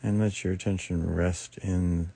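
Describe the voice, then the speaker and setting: A man leading a guided meditation as teacher, speaking soothingly in short, unhurried phrases with long pauses. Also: low-pitched, little pitch movement, gravelly, warm tone, breathy edge. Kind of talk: guided meditation, improvised soft instruction.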